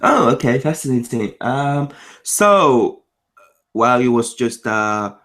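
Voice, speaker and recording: Cantonese-native guy from macau answering interview questions, normal pitch, talks in quick bursts, lists stuff off. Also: sing-song, a bit bright. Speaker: interview guest. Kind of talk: casual conversational interview.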